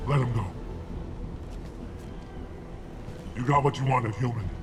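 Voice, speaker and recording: An actor playing a suspicious, menacing alien thug in a video game, with hard, curt delivery. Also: male voice, medium pitch, steady tone, quick pace, noisy background, lightly acted. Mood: grudging.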